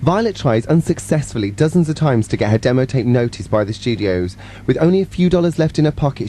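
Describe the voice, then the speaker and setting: A man's voice: medium pitch, mellow, slight background noise. A man in his twenties co-hosting live music radio, switching between light banter and presenting features. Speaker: radio co-host. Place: radio studio.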